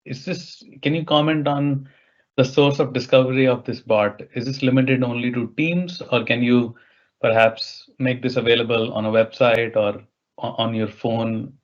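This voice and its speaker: A man's voice: mid-range voice, steady tone, normal speed. A man moderating a webinar question session over an online call, putting questions politely and tentatively. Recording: dull, lo-fi online call audio.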